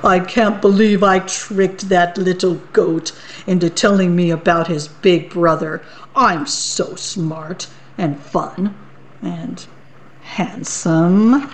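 A woman voicing a hungry, boastful male troll from a storybook, gloating in slow sing-song rhymes. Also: fairly low pitch, fairly even intonation. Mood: smug.

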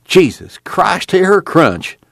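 A man playing a rough, plainspoken young farm fellow, coarse and blunt, in an audiobook. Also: medium pitch, expressive, moderate pace, no room sound, clean studio sound, voice pushed up, natural voice. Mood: impatient, coarse.

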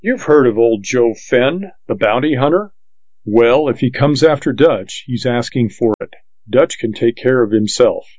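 A man's voice: mid-range voice, animated, measured pace, a little mellow, dry recording, lightly acted. A man voicing a talkative, gossipy young frontier sidekick who speaks in an offhand way. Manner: chatty, offhand. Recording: dull, lo-fi dry audiobook recording.

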